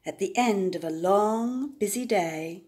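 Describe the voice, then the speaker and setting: An older woman reading a storybook aloud to young children, deliberate and expressive, with dramatic emphasis. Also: mid-range voice.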